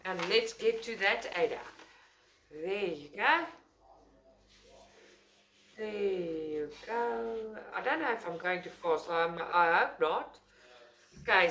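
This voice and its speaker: A woman's voice: mid-range voice, fairly bright tone, slight background noise. Chatty South African woman unboxing crafts. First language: Afrikaans. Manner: chatty, enthusiastic, rambling with self-corrections. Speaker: craft channel host. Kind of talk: casual unboxing talk to camera. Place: indoors at a table, filming overhead.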